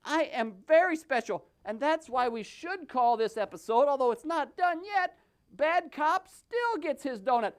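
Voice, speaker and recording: A smug puppet policeman acted by a man with a very high voice. He is boastful and brash, in broad puppet comedy. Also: expressive, measured pace. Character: self-satisfied male cop puppet, brashly unrepentant. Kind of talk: comic puppet show acting.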